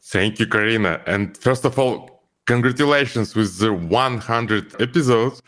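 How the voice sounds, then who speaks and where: A man's voice: medium pitch, measured pace, a bit bright. A man from Ukraine with a Ukrainian accent, speaking as an interview guest in earnest, carefully phrased English. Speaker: guest, company founder. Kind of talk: interview conversation.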